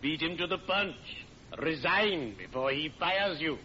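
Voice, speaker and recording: Morbidly cheerful undertaker, slow stately phrasing, forceful bright tone, formal and solemn, vintage radio sitcom. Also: male voice, on the high side, lively intonation. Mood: wry encouragement. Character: gleefully morbid, courtly friendly neighborhood undertaker. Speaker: radio comedy actor. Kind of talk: old-time radio sitcom acting. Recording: muffled, noisy vintage radio with audience.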